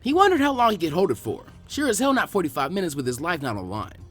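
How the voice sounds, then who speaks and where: A man reading fan fiction aloud as an expressive scripted narration. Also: sits high, fast talker, faint music behind.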